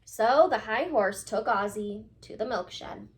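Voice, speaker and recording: A woman teacher talking to young children and reading a storybook aloud in an expressive, emphatic way. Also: on the high side, unhurried.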